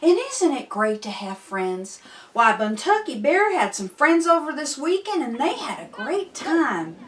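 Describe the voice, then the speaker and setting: Animated older woman reading a children's storybook. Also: high-pitched, a little hiss or hum. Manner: expressive, playful, drawn-out delivery. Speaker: host of a children's read-aloud story time.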